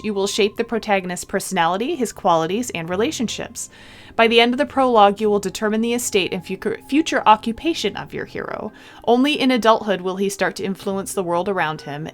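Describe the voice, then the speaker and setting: A woman doing a let's-play of a visual novel, reading the text aloud expressively and adding casual, chatty comments. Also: medium pitch. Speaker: game streamer.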